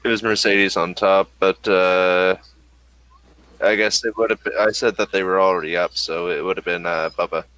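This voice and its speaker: Low-voiced man as a halting game master. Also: steady tone, pushed and bright, clean tone, no room sound, low-quality recording. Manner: halting descriptions full of fillers. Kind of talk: narrating a tabletop game.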